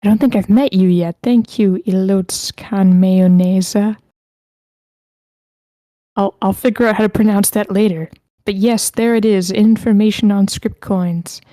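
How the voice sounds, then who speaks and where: A woman's voice: medium pitch, steady tone, unhurried, dark tone, no echo. A woman hosting an online script table read in a casual, chatty livestream style. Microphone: close mic with a sock over it, online voice call.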